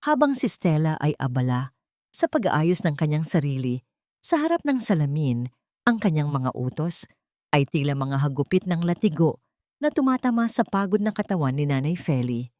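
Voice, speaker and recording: A woman narrating a scripted dramatic audio story in a calm, measured, solemn way. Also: deep voice, no echo, studio quality.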